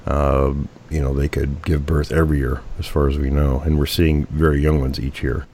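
A man with a cold and a hoarse voice, answering interview questions in a measured way. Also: very deep voice, little pitch movement, a little mellow, a little hiss or hum.

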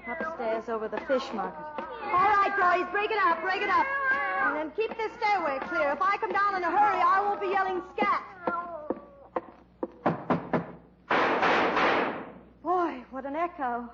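A woman's voice: very high voice, animated, normal speed, heavy background noise. A woman acting a plucky, scatterbrained young newspaper reporter in a radio comedy-mystery, chatty, naive and flustered. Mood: brisk. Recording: dull lo-fi recording.

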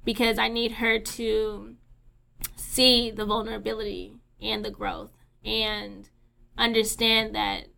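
A woman's voice: fairly high pitch, flat delivery, slow pace, gravelly, bright, forceful tone. A young woman co-hosting a podcast, talking candidly with lots of fillers, swearing and laughter. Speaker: podcast co-host. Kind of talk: casual chat.

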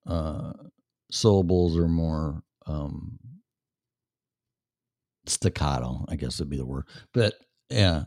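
A man's voice: extremely low pitch, slow pace, pauses often, a little mellow, dry recording, clean studio sound. A man with a Chicago accent hosting a casual chat show, rambling and blunt, with plenty of swearing. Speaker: show host. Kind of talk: casual livestream chat with viewers.

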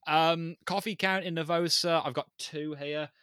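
A man with a Birmingham accent co-hosting a podcast, speaking clearly with careful enunciation and frequent ums. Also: fairly high pitch, steady tone, measured pace, sharp tone, no room sound, clean studio sound. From England. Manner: well-enunciated, hesitant with ums. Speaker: podcast co-host. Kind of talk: casual chat.

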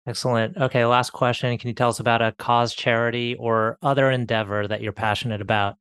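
A man's voice: medium pitch, little pitch movement, a little fast, fairly bright tone, clean tone, no echo, studio quality. A man hosting an interview podcast, asking short, polite questions. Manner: brief, polite, wrapping up. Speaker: podcast host.

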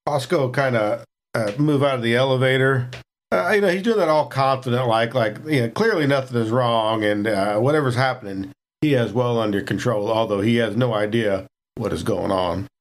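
A man's voice: mid-range voice, moderate pace. A man playing a tabletop role-playing game, describing his character in a chatty, filler-heavy way. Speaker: player. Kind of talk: casual tabletop game chat.

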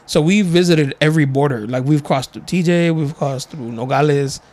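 A man's voice: a little high, moderate pace, a little mellow. A man hosting a podcast, chatting casually, joking and laughing often with many fillers. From United States, family in Mexico. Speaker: podcast host. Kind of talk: casual chat.

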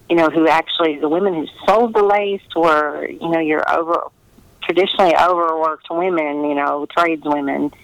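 A middle-aged woman giving earnest, conversational interview answers, pausing often to find her words over a remote line. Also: deep voice, creaky, no echo, poor audio. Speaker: interviewed historical novelist.

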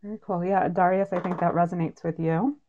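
A woman's voice: lowish voice, fairly even intonation, fairly quick, mellow. A woman casually moderating a panel, speaking unscripted as she passes questions to the guests. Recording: slightly dull, muffled sound.

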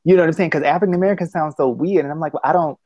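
A man's voice: fairly high pitch, rapid-fire, a little mellow, dry recording, highs cut off. A man talking thoughtfully in a casual conversation over a call, with many restarts and affirmations. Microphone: phone call.

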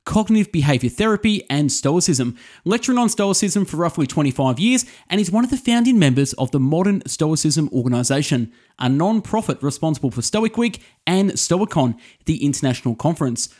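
A man's voice: a little high, sing-song, fairly quick, few pauses, a little mellow. A man hosting an interview show, introducing his guest and asking questions. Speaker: podcast host, interviewer.

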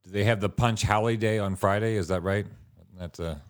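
A man's voice: fairly low pitch, steady tone, brisk. A man hosts a podcast in a casual conversational style, with playful dry humor. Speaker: podcast host.

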